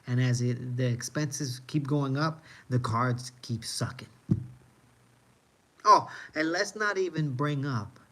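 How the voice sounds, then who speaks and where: A man's voice: medium pitch, slow pace, sharp tone. A man from New York City with an American accent, ranting casually on a livestream in an emphatic, repetitive way. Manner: emphatic, repeats himself for effect. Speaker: live streamer.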